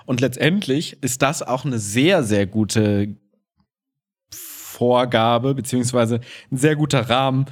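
A man's voice: medium pitch, takes it slowly. A man chatting informally and colloquially as a podcast co-host. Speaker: podcast co-host and improv performer. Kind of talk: casual conversational podcast chat.

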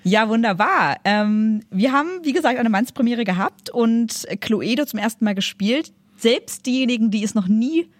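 A woman's voice: a little high, animated, normal speed, hardly any pauses, no echo. Laughing woman co-hosting a podcast. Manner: laughs a lot, teasing. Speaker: podcast co-host. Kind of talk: casual chat.

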